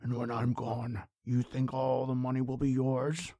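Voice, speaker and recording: Gravelly, cruel undead vampire played by a man. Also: mid-range voice, little pitch movement, a little slow, mellow, dry recording. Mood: commanding. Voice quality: gravelly, husky. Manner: commanding, sneering. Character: cruel, domineering undead vampire brother, menacing from his coffin. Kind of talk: old-time radio horror acting.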